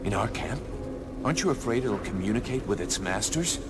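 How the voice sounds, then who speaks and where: An actor playing a plain-spoken, questioning mercenary fighter in a fantasy video game. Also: male voice, lowish voice, lively intonation, brisk, over loud music, close to an everyday voice.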